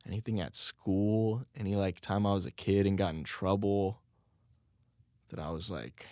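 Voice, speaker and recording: A man's voice: low voice, a little mellow, no echo, clean studio sound. A young man hosting his own podcast in a rambling, casual way, swearing and laughing at himself, his voice still a little strained. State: voice recovering, slightly strained. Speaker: podcast host and rapper. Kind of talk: casual solo podcast chat.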